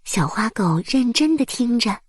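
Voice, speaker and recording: A woman narrating a bedtime story for small children in a soft, breathy voice, with gentle, soothing and careful phrasing. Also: a little high, sing-song, a little slow, no room sound.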